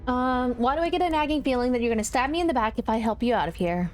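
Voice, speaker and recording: A woman game streamer with a slightly high voice. She chats casually through a playthrough and reads out choices, with soft game music behind her. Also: animated, fast talker. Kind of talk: casual playthrough commentary, reading choices aloud.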